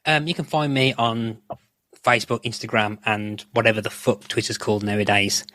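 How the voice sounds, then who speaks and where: A man's voice: lowish voice, level tone, moderate pace, sharp tone, no echo. A man chatting casually as a podcast guest, rambling and swearing freely.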